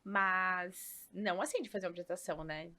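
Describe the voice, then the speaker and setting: A woman, telling her career story as a podcast guest in lively casual chat with quick laughs. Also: lowish voice. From Rio Claro, São Paulo state, Brazil. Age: 40s.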